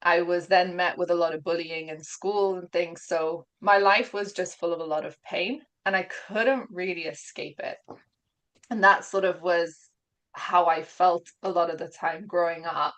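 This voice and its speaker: A woman's voice: lowish voice, steady tone, moderate pace, a bit bright. Earnest woman with a mixed British accent. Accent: mixed British and Gibraltarian. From England and Gibraltar. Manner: open, earnest, laughs often while telling her story. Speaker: guest. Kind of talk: personal story in an interview.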